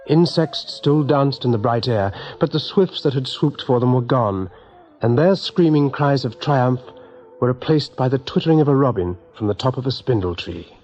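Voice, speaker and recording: A man giving expressive, measured narration for a radio drama, with a husky voice. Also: mid-range voice. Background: soft music.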